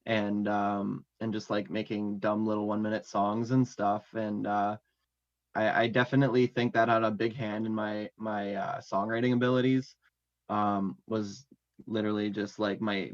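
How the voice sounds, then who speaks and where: A man's voice: on the low side, vocal fry. A man chatting casually as an interview guest about his music, rambling and full of fillers. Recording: dull lo-fi audio.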